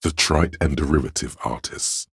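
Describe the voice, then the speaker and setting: A man playing a cerebral, pretentious inner voice of artistic imagination in a game, narrating theatrically and deliberately in a gravelly, husky voice. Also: a bass voice, dry recording, studio quality, heavily acted. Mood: grand, exhorting. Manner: pompous, theatrical, deliberate diction. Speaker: game voice actor. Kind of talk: dramatic scripted game narration.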